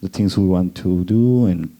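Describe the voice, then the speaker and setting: A man speaking hesitantly in a group discussion, with many pauses and fillers. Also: low voice, gravelly, mellow. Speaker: festival workshop participant. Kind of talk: spontaneous discussion remarks.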